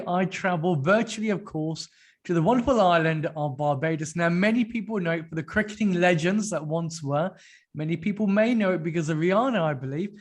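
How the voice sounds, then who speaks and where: Male podcast host, notably high voice, upbeat and polished. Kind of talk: podcast interview.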